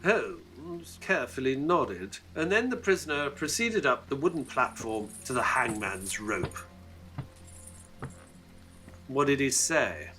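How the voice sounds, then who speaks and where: An actor playing an earnest Victorian gentleman doctor who narrates a case in an audio drama. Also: mid-range voice, big pitch swings, unhurried, few pauses, faint music behind. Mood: questioning.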